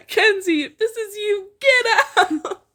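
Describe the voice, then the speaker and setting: A woman's voice: very high voice, lively intonation, slow, a bit bright. A young woman reading fan fiction aloud informally with a friend, in a playful, giggly manner. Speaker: amateur fan fiction reader.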